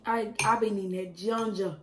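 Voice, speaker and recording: A woman chatting casually while eating on camera, with preachy asides, frequent laughs and a slightly hoarse voice, recorded on a close mic at home. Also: mid-range voice, fairly even intonation, normal speed, echoey room. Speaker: mukbang creator. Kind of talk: casual eating-show chat.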